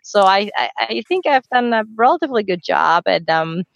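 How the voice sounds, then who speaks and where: A woman's voice: medium pitch, animated, moderate pace, vocal fry, dry recording. Talkative woman on dull, dry audio. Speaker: court and conference interpreter, guest. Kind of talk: conversational panel discussion.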